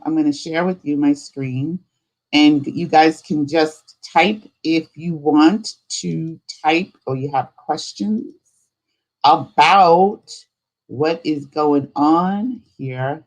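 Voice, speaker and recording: A woman hosting a live spirituality stream, chatting casually in an unhurried, rambling way. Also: sits low, poor audio. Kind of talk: casual livestream chat.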